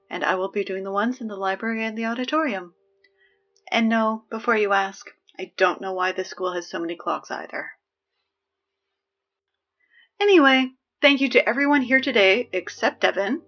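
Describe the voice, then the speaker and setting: A woman playing a high-pitched, sarcastic school announcer. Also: a bit bright, lo-fi sound. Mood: wry, resigned. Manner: wry, sarcastic, conversational asides. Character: exasperated high school morning announcer, sarcastic and dryly officious. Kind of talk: scripted comedic audio drama announcement.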